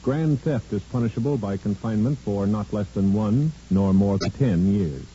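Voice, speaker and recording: A man reading a formal scripted 1950s radio announcement in an official, measured manner. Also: on the low side, a little hiss or hum. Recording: dull vintage radio, loud music behind.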